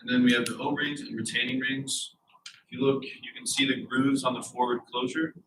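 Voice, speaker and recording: A man's voice: medium pitch, fairly even intonation, a little fast. Young guy presenting, stuffy nose, echoey classroom, mic far off. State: congested. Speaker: propulsion team lead. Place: lecture classroom. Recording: echoey room, distant, noisy.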